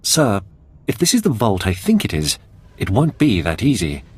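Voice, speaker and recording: A dutiful army captain in a video game. His voice is lowish and husky with varied pitch, and his manner is cautious and respectful. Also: wildly swooping pitch, normal speed, a little mellow, heavily acted. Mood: cautious, reporting. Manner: deferential, cautious. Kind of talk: video game cutscene acting.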